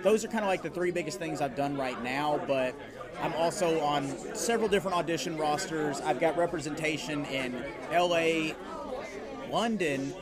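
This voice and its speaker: A man's voice: fairly high pitch, moderate pace. A man hosting casual on-location interviews at a convention. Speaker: podcast host interviewing guests, also a voice actor. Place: convention booth in a hotel media room. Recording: busy convention crowd around him.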